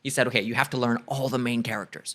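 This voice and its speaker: Fast-talking American man telling stories. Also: medium pitch, slight background noise. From United States. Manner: earnest, animated storytelling with frequent "you know". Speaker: English teacher and guest. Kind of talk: casual interview chat.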